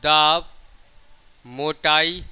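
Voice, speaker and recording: A man's voice: fairly high pitch, steady tone, drawn-out words, pushed and bright, clear, resonant tone. A man teaching a physics lesson, dictating definitions, repeating key phrases and answering his own questions. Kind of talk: classroom lecture with dictated definitions.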